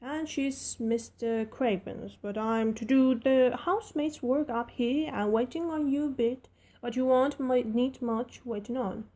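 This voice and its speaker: A woman's voice: on the high side, measured pace, mellow, voice pushed up. A woman voicing a plain-spoken young Yorkshire housemaid with broad dialect, cheerful and frank. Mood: matter-of-fact.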